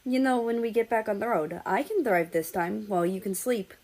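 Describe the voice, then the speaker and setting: A woman's voice: medium pitch, animated, a little fast, darkish tone. A woman voicing a smug, playful male travel companion in dialogue from a story reading. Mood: eager.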